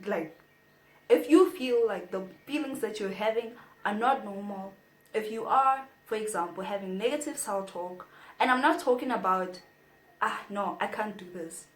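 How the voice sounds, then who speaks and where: Brief: young woman, slow and playful vlog delivery, echoey room. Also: medium pitch. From South Africa. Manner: chatty and playful, reads from notes. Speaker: vlogger. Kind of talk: casual advice vlog.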